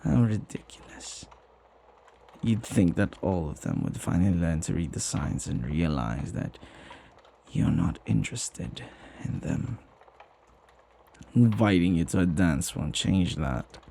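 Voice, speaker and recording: A man playing a flirty, devoted butler in an intimate ASMR roleplay, with genteel, formal phrasing and a husky voice. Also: low-pitched, very animated, unhurried, long pauses, dark tone, slight background noise, heavily acted. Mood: indignant, annoyed. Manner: formal, genteel phrasing with teasing familiarity and sighs. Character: flirty, gossipy, devoted butler, jealously protective of master.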